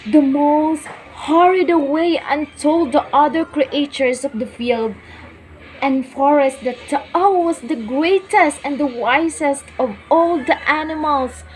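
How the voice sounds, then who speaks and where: A young woman performing a bedtime story for children in a theatrical, deliberate manner. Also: high voice, soft background music, poor audio. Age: young adult.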